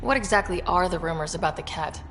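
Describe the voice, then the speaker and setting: A woman's voice: on the low side, fast talker, a bit bright, long reverb tail, slight background noise. A woman playing a composed young explorer hero in a video game, direct and dry in delivery. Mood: direct, questioning. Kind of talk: video game cutscene acting.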